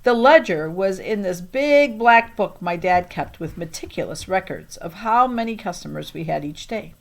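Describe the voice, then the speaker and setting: A woman's voice: medium pitch, lively intonation, moderate pace. A woman narrating a children's novel in the first person with expression, occasionally stumbling and re-reading words. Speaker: audiobook reader.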